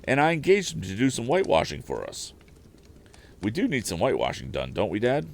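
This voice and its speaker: An eager young inventor lad from an adventure storybook, acted out by a man. The voice is lifted above the reader's deep natural pitch and swings around with breezy enthusiasm. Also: huge pitch swings, brisk, gravelly, a little hiss or hum, heavily acted. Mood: cheerful. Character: eager, plucky young inventor, boy hero of adventure novel.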